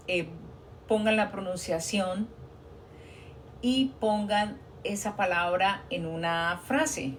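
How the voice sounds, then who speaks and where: A woman's voice: mid-range voice, slight background noise. Earnest Colombian woman giving advice. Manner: earnest, encouraging, with frequent restarts and fillers. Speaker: nurse working abroad, live stream guest. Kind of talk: casual advice chat on a live stream.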